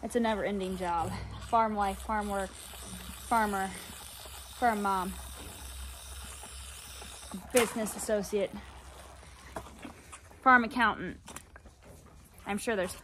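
A woman's voice: medium pitch, fairly even intonation, slow pace, long pauses, fairly bright tone, slight background noise, poor audio. Woman farmer, 30s, casual vlog to a handheld phone outdoors; chatty, rambling, a little worn out. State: sore and tired. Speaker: farmer vlogger. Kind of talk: casual vlog chat to camera. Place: outdoors on a farm, by fields and a cattle barn. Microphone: phone held at arm's length.

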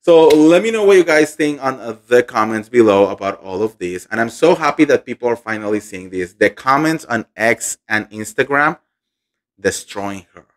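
A man, hosting an animated, indignant gossip commentary vlog into a studio microphone at home. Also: medium pitch, moderate pace. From Colombia. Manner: animated, indignant, emphatic repetition. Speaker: pop culture gossip host. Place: home room indoors. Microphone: studio microphone on boom arm.

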